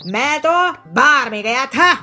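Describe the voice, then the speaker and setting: A woman's voice: high-pitched, animated, measured pace, pushed and bright, faint music behind, full character voice. A woman voicing Jabaz, a male bird husband in a cartoon who owns up to his lies. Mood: sheepish.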